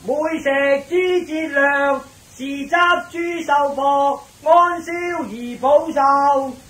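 A solemn court herald in a radio drama. He declaims verse slowly in an extremely high voice, with a roomy echo. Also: a bit bright, heavily acted. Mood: solemn, declaiming. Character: formal herald chanting verse at royal court.

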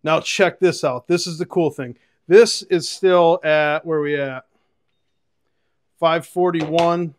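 A man's voice: a little high, fairly even intonation, slow. A man in his forties giving an enthusiastic, casual, conversational product review and demonstration to camera. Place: home kitchen, indoors. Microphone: clip-on lapel mic.

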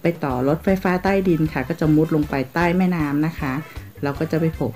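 Low-voiced Thai woman vlogging from a city. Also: a little fast, faint music behind. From Thailand. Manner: polite, with frequent soft sentence endings. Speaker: travel vlogger. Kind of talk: chatty travel narration. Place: outdoors in a city, partly on a tram.

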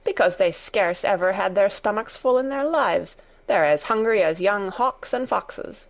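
Woman doing a homely yorkshire maid, chatty and outspoken, a bit gravelly. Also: mid-range voice, animated, unhurried. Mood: indignant. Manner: homely, outspoken, broad dialect. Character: good-tempered chatty young Yorkshire maid.

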